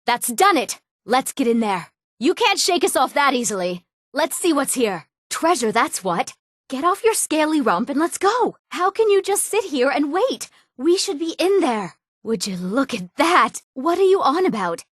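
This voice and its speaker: A woman's voice: a little high, very animated, a little slow, few pauses, a bit bright, no room sound, studio quality, exaggerated voice. A woman playing a headstrong, eager young treasure hunter in a fantasy game, with a youthful voice. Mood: impatient, urgent. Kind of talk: scripted fantasy game dialogue.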